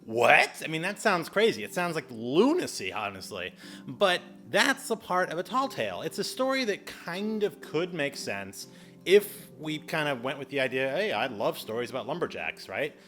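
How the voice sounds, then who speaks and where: A man with a bright, forceful voice presents a casual explainer. He is chatty and animated, pitched a little high, and throws in playful, exaggerated asides. Faint music plays behind him. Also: moderate pace. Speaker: video host giving public speaking tips.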